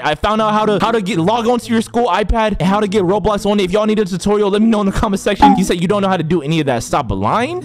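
Hyped young man gaming commentary. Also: high voice. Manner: hyped, slangy, says bro a lot. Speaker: gaming YouTuber. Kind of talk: Roblox gameplay commentary.

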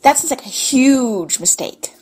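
An actress playing an excitable, boisterous young huntress girl with a husky voice in an audio drama. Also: high voice, a little slow, full character voice. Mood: excited.

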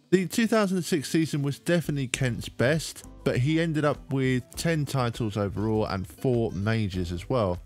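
A man hosting a sports video, giving a measured, explanatory scripted narration into a close microphone. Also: medium pitch. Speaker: host of a bowling video channel. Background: background music.

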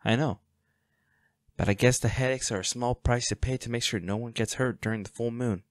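A man playing a protective, defiant hunter boyfriend in an intimate roleplay audio drama, speaking unhurriedly with sighs and pauses. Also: mid-range voice, steady tone, no echo, lightly acted. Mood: insistent, protective. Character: protective, defiant boyfriend, a monster hunter, tender but ruthless.

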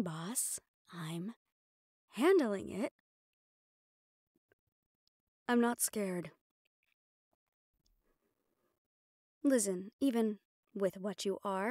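A woman performs a fiercely loyal mafia boss's assistant in an audio roleplay. She is stressed and exasperated, clipping her phrases and swearing freely. Her mid-pitched voice sits close, with hardly any room around it. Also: darkish tone, no room sound, close to an everyday voice. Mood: defensive, flustered.

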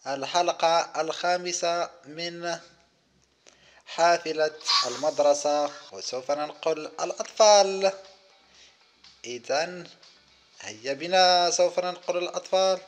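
Male children's game host, fairly high voice, short simple phrases, faint music underneath. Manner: counts aloud, speaks in short simple phrases. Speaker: host of children's gaming videos. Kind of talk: simple gameplay commentary for young children.

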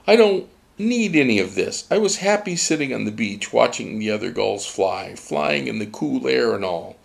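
A man doing a flustered old male seagull, raised gravelly voice, plaintive, storybook. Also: fairly high pitch, moderate pace, voice pushed up. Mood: exasperated. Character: male gull, anxious, exasperated old seabird.

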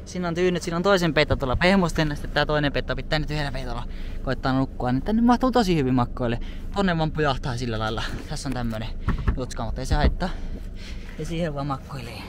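Guy in his 20s just rambling casually to his camera, slang, really noisy recording. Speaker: travel vlogger. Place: mostly inside a parked or moving car at night, some in a small shop. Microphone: phone or camera at arm's length. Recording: noisy handheld recording with car rumble.

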